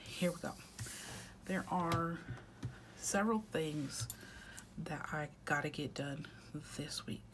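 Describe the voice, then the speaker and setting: Congested woman softly chatting while planning. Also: deep voice, a little hiss or hum. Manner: soft, often half-whispered, unhurried. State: congested with a head cold. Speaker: planner vlogger. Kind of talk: casual chat while planning.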